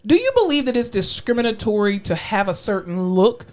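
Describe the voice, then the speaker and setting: A woman's voice: mid-range voice, very animated, fairly quick, a little mellow. A woman co-hosting a podcast in casual chat, blunt and joking, sometimes playing devil's advocate. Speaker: podcast co-host.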